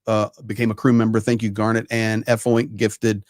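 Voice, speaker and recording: A man's voice: lowish voice, completely flat delivery, moderate pace, dry recording, clean studio sound. A man co-hosting a live online stream, agreeing emphatically, adding his own points and thanking viewers. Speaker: co-host. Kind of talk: casual live chat.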